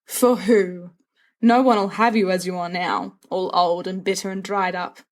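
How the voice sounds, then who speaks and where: A woman's voice: fairly low pitch, fairly even intonation, measured pace, natural voice. Woman doing a sulky teen schoolboy from a storybook, mocking, whiny, bickering. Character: petulant, bickering, lovelorn teenage schoolboy.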